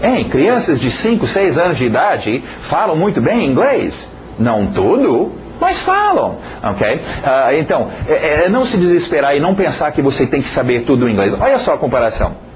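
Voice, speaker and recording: Animated male teacher. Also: on the high side, moderate pace, slight background noise, poor audio. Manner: animated, repeats words for emphasis, frequent check-in tags. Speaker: English teacher. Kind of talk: informal spoken lesson.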